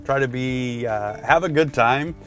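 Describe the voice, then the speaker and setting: A man's voice: mid-range voice, unhurried, a bit bright, soft background music. A man presenting product tips in a casual, conversational instructional style, talking directly to the viewer.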